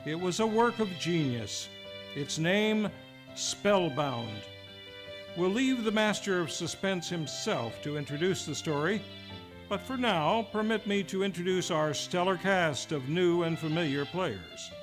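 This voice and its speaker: A man's voice: high voice, expressive, a little slow. A man hosting in a grand, florid, old-time radio announcer style. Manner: grand, florid showman delivery. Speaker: series host, announcer. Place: radio show performed live in a theater. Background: music.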